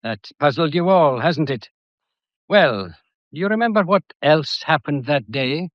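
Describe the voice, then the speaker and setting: An actor playing a shrewd, courteous police inspector with an English accent, deferential yet probing, in a radio drama. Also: male voice, fairly high pitch, animated, measured pace, no room sound, lo-fi sound. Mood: probing, assured.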